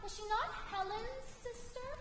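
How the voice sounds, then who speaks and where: A woman's voice: very high voice, moderate pace, fairly bright tone, slight background noise. A young woman acting an awestruck, questioning member of a Greek chorus in heightened theatrical speech. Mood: awed. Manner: heightened, declamatory. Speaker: student actor, chorus member. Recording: reverberant theatre hall, music behind. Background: music.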